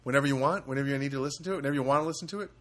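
A man hosting a casual solo podcast from a studio apartment, rambling and halting with many fillers. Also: medium pitch. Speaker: podcast host.

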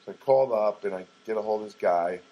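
A man's voice: lowish voice, quick pace, mellow, low-quality recording. A middle-aged man casually telling a rambling, wry personal story and acting out what people said. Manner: rambling, wry, acts out quoted lines.

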